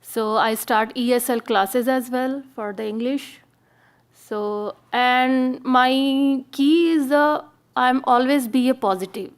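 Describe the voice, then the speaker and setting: A woman with an Indian accent, a native Hindi and Punjabi speaker, telling her story on a panel in halting, simple English. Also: high-pitched, smooth. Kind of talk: personal account on a panel.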